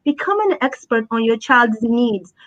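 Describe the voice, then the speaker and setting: A fairly high-voiced woman speaks with earnest conviction, coming back to her main points again and again. Her voice comes through a muffled, lo-fi remote call. She talks as an interview guest and advocate. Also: steady tone, measured pace, fairly bright tone. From born in Abu Dhabi. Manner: earnest, heartfelt, repeats key phrases. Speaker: guest, special education advocate. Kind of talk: conversational interview answers.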